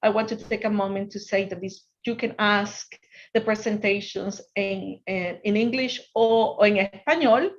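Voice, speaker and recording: A woman's voice: mid-range voice, normal speed, lo-fi sound. Earnest Spanish-accented woman giving a workshop. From Ecuador. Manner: earnest, restarts sentences, asks if the audience can hear. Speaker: guest speaker on finance. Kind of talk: online workshop presentation.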